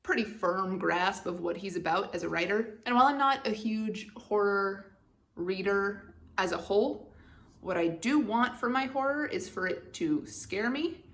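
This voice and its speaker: Young woman book vlogger, frank and opinionated with a dry wit, mid pitch. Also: unhurried, a bit bright. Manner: candid, opinionated, wry. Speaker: BookTube channel host. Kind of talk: casual book tag vlog.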